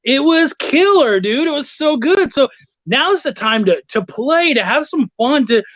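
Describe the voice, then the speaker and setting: A middle-aged man talking casually as a podcast guest, chummy and quick to swear, over an online call. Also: extremely high pitch, very animated, a bit bright, dry recording. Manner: chummy, profane. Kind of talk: casual storytelling chat. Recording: dull, dry lo-fi sound.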